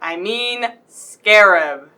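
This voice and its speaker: A woman announcing an old-time radio mystery in a dramatic, deliberate scripted style. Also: mid-range voice, lively intonation, sharp tone, heavily acted.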